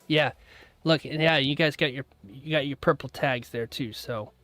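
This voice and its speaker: A man's voice: mid-range voice, moderate pace, bright, forceful tone, dry recording. A man hosting a live trading stream, chatting casually with his viewers, laughing often and rambling through asides. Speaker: trading livestream host.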